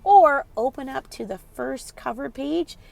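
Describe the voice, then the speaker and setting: A woman's voice: high voice, expressive, unhurried, gravelly, a little mellow. A woman hosting a children's read-aloud, reading expressively and putting questions directly to young listeners in an instructive way.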